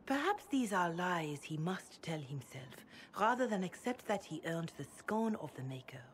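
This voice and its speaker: A woman playing an older, pious holy woman in a video game, speaking gravely and gently in measured, formal phrases. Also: on the low side, big pitch swings, a little hiss or hum. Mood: solemn, grave. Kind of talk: dramatic video game dialogue.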